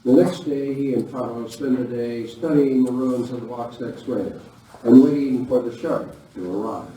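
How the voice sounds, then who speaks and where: A man's voice: mid-range voice, moderate pace, mellow. Very muffled, echoey man narrating. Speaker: narrator of a radio play. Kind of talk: scripted live narration. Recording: very echoey, distant hall, faint noise.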